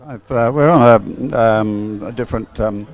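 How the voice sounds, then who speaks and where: A man chatting casually as co-commentator on a live sports broadcast, rambling and halting as he goes. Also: lowish voice.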